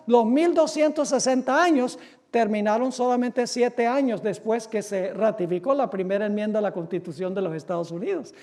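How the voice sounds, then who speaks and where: A pastor from the United States preaching a sermon, reading quotations slowly and firing off rhetorical questions that he answers himself. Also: very high-pitched, darkish tone. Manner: emphatic, asks rhetorical questions and answers them; reads quotations aloud slowly. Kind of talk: sermon lecture.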